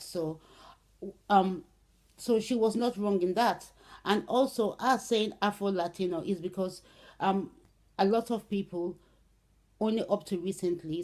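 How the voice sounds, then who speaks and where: A middle-aged woman from Brazil, speaking casually to camera with many "um"s and "okay"s, in an unhurried way. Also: sits low, warm tone. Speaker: vlogger. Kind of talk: casual spoken commentary to camera.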